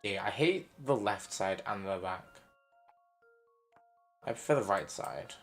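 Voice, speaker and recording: A man's voice: on the low side, expressive, measured pace, soft background music. A man playing a puzzle game and commenting, half muttering as he reads the puzzle text aloud. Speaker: game player. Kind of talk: let's play commentary.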